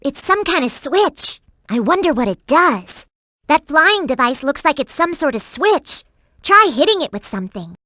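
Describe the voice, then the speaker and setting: A woman's voice: sits high, sharp tone, dry recording, clean studio sound, heavily acted. A woman voicing a perky, earnest and bossy young girl companion in a video game, with emphatic delivery. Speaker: in-game hint-giving companion.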